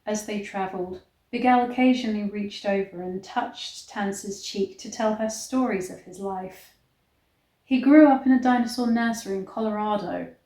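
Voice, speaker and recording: A woman's voice: mid-range voice, moderate pace, dark tone. A woman reading a novel aloud in a mock-dramatic way, breaking off for blunt, incredulous commentary. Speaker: online reader and commentator. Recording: roomy, echoey sound.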